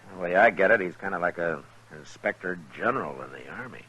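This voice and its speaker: A man playing a steady, patient US marshal with an American accent, calm and laconic, in 1950s western radio drama style. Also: deep voice, brisk, slightly rough, exaggerated voice. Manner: calm, laconic, plain-spoken. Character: steady, patient, watchful US marshal of the Old West. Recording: faintly noisy vintage radio broadcast.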